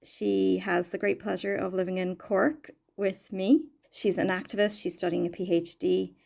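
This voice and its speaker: A woman hosts a podcast interview, asking her guest questions in a friendly, plainspoken way. Her voice sits low and carries a gravelly fry. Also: darkish tone. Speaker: podcast host.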